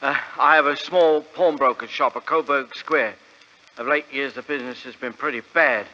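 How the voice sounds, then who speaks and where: An actor playing a proud, naive middle-aged London pawnbroker, British, plain-spoken and hesitant, in 1940s radio drama style. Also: male voice, medium pitch, normal speed, close to an everyday voice. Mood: earnest, rambling. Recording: dull vintage radio recording.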